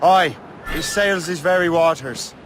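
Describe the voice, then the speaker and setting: A cocky young Irish sea captain acted by a man for a video game. His voice sits fairly high, and he talks with the confidence of someone in command. Wind and surf are clearly heard around him. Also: unhurried, natural voice. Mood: determined. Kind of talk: video game cutscene acting.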